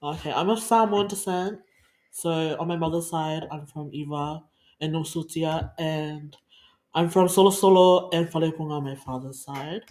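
A woman's voice: sits low, steady tone, moderate pace, warm tone. A 21-year-old woman, a friendly, casual podcast guest. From South Auckland, New Zealand. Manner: friendly, casual, laughs easily.

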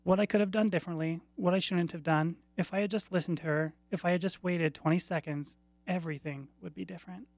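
A woman's voice: lowish voice, steady tone, fast, darkish tone, no echo. A woman reading the part of a sorrowful, guilt-ridden old homeless man in a short story, stammering and blaming himself. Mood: anguished.

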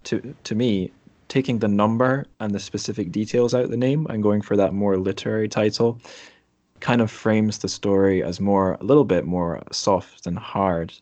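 A man's voice: on the low side, steady tone, normal speed, darkish tone, dry recording. A man hosting a podcast interview in an informal, conversational way, phrasing his thoughts tentatively. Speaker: podcast host.

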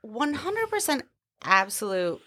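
A woman's voice: on the high side, very animated, unhurried, bright, forceful tone. A woman guest on a casual podcast, blunt and argumentative.

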